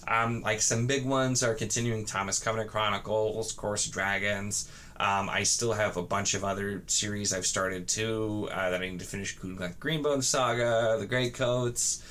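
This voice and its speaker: A man chatting casually to camera as a book vlogger, in a halting, rambling way with frequent false starts. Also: on the low side, fairly bright tone. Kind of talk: casual chat to camera about books.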